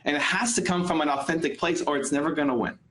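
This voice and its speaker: A man's voice: fairly high pitch, quick pace, fairly bright tone. A man giving a motivational business coaching talk, speaking emphatically and repeating phrases for effect. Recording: echoey room sound.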